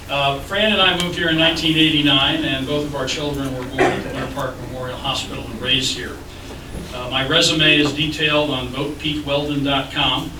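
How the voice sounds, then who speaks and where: A man giving a measured, businesslike opening statement as a candidate at a public debate, with frequent filler sounds. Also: fairly high pitch. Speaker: city commission candidate. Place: public library meeting room. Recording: echoey room, distant mic, noisy.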